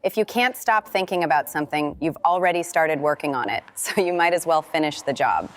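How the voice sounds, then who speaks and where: A woman's voice: deep voice, lively intonation, brisk. A woman playing a nosy, irreverent friend in an audio drama, talking in a playful, crude, casual way. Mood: teasing, frank. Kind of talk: naturalistic audio drama dialogue.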